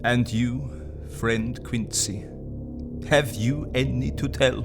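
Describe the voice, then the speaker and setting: An actor playing Van Helsing, a grave, tender older foreign professor, with solemn, halting phrasing in an audio drama. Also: male voice, mid-range voice, over music. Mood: solemn inquiry.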